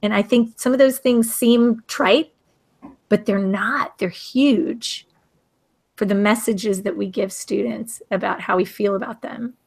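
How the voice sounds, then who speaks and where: A woman's voice: mid-range voice, steady tone, unhurried, gravelly, darkish tone. A woman educator hosting a video call discussion, speaking earnestly and emphatically, often restarting mid-sentence. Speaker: educator, hangout host and author.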